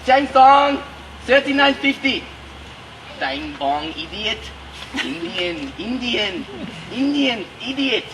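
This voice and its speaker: A man's voice: very high voice, big pitch swings, takes it slowly, pitched above the performer's own voice, heavily acted. A man doing a stand-up impression of a shrewd, dismissive shopkeeper with a put-on Chinese accent and clipped, scolding broken English. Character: shrewd, dismissive Chinese male shopkeeper haggling over prices. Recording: noisy live recording from far off.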